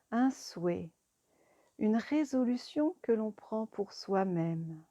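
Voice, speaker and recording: Slow, mellow woman guiding relaxation. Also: medium pitch, no room sound. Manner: calm, soothing, deliberate instruction. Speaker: yoga nidra teacher. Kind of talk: guided relaxation meditation.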